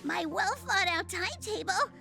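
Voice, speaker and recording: A woman voicing a grumpy, tearful little conductor creature in a video game, squeaky and childlike, stammering and scolding. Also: expressive, moderate pace, vocal fry, exaggerated voice. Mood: indignant scolding. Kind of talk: video game character acting. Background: music.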